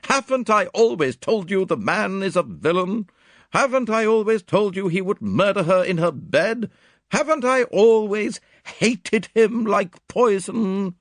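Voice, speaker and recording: Man voicing an indignant, high-pitched, blunt Englishwoman. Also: huge pitch swings, unhurried, husky, dry recording, studio quality, full character voice. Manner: emphatic, exclamatory, sharp-tongued. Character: blunt, vehement, agitated Englishwoman, fiercely indignant. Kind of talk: audiobook character dialogue.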